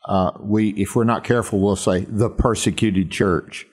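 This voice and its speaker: Male radio host, lowish voice, earnest and reflective. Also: fairly even intonation, normal speed, a little mellow, husky. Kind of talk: reflective devotional talk.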